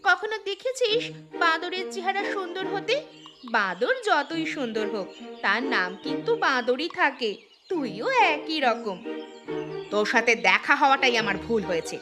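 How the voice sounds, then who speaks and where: A bickering proud village wife cook, high voice, cartoon story, music behind. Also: female voice, animated, normal speed. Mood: haughty, quarrelsome. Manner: bickering, taunting, emphatic. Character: sharp-tongued, proud young village housewife, a boastful cook. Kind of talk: cartoon moral-story voice acting.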